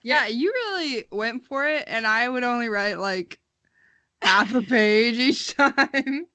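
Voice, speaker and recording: A woman with a bright, slightly high voice, recorded dry and close. She co-hosts with casual comic banter, amused and teasing, often incredulous. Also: lively intonation, slow pace, dry recording. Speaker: podcast co-host.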